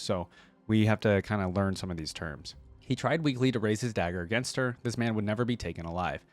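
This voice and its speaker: A man's voice: on the low side, dry recording. A man hosting a reaction video, reading lore aloud and commenting in an informal, exclamatory way. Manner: informal, filler-heavy, exclamatory asides.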